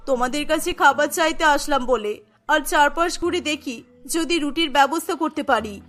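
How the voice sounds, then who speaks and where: Woman acting gentle old village woman, high voice, meek, resigned, cartoon dialogue, music behind. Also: normal speed.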